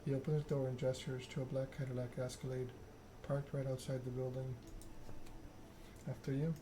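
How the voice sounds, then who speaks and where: A man narrating a story game aloud in a soft ASMR style. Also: medium pitch, level tone, fairly quick. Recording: clear background noise.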